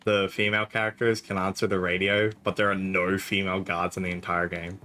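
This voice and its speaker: A man plays a co-op heist game, asking curious questions and making wry asides. His voice is low but has a sharp, bright edge. Faint game music sits behind him. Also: flat delivery, a little fast. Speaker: co-op player. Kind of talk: casual gameplay chat.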